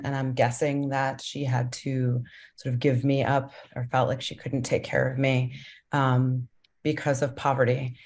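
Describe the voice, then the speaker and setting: A woman born in Colombia, a documentary director, answering thoughtfully in a panel conversation with many pauses and restarts. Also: very deep voice, a little mellow.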